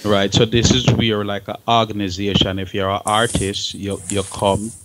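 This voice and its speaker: A man in a talk-show discussion, speaking haltingly and often repeating words as he restarts phrases. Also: fairly low pitch, flat delivery, heavy background noise.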